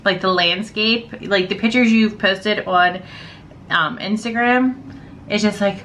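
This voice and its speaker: Bright, gushing woman co-host. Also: medium pitch, a little slow, slight background noise. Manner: eager, gushing, heavy use of "like". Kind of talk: casual interview chat.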